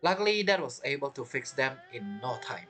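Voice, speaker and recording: A man giving casual game commentary and reading text aloud in a halting way, reacting as he goes. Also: medium pitch, sing-song, sharp tone, soft background music. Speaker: gaming streamer.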